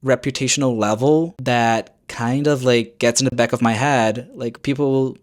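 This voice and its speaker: A man's voice: medium pitch, steady tone, moderate pace. A young man speaking English as his second language, giving earnest, encouraging advice in a casual vlog and often searching for words and restarting phrases. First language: not English. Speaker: YouTuber.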